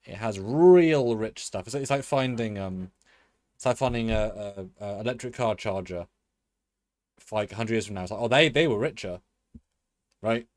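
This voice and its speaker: A man's voice: on the low side, normal speed, long pauses, no echo. A man co-hosting a live review show, rambling in a chatty way with many fillers and restarts. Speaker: co-host. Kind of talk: live-stream review chat.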